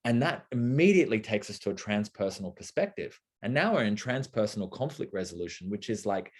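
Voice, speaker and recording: A man's voice: on the low side, big pitch swings, brisk. A young adult man talking casually and thoughtfully, rambling with lots of fillers and restarts. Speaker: co-host of a live talk. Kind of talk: casual conversational talk.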